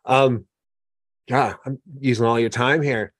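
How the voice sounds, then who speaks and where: A man's voice: mid-range voice, steady tone, measured pace, no room sound, clean studio sound. A man hosting an interview, musing aloud in a reflective, slightly rambling way. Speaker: podcast host. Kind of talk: casual interview conversation.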